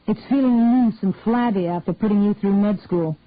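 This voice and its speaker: A wry, easygoing father tossing off jokes, pitched a touch lower than the reader's voice as a woman narrator puts him on. The sound is thin and phone-like, and completely dry. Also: normal speed, no room sound, lightly acted. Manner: wry, offhand. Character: joking middle-aged father, easygoing.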